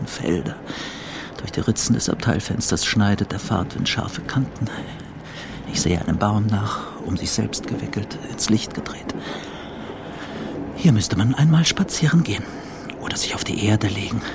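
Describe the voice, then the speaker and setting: An actor playing a brooding, haunted surgeon in a radio drama monologue. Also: male voice, lowish voice, noisy background. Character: brooding suspended surgeon haunted by his own hands.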